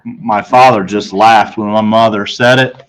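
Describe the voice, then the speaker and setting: A man's voice: on the low side, steady tone, unhurried, fairly bright tone, poor audio. Man reading a children's storybook aloud. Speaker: reader of a children's novel. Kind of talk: read-aloud storybook narration.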